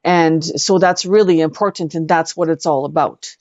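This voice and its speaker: A Canadian woman with a fairly low voice gives conversational interview answers. She speaks haltingly and earnestly, pausing to think. The sound is dry and a bit dull, like lo-fi audio. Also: fairly even intonation, darkish tone, no room sound. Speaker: guest, singer-songwriter and speaker.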